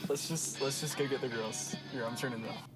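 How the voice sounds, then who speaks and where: A man's voice: mid-range voice, fairly quick, over loud music, close to an everyday voice. Man playing a playful, eager teenage boy. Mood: teasing. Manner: excitable, teasing. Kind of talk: naturalistic radio drama banter.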